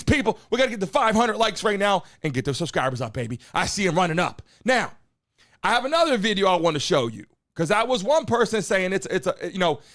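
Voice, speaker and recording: A man hosting a live stream from his studio, talking loudly and bluntly and hyping up his chat. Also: high-pitched. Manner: hype-man shouting at the chat. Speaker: live-stream show host. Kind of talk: loud, brash live-stream commentary. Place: home studio in Miami.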